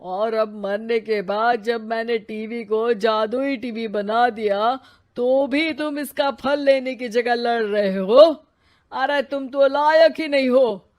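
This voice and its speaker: A grumbling ghost of an old father in a moral tale, voiced by a woman. He scolds his sons in an aggrieved way, taking his words very slowly. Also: fairly high pitch, a little mellow. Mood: scolding, aggrieved.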